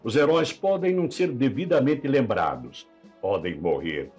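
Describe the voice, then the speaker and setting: A man's voice: mid-range voice, lively intonation, moderate pace, a little mellow, slightly rough. A man with a Brazilian accent narrating a scripted documentary in a solemn, dramatic, rhetorical manner. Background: background music.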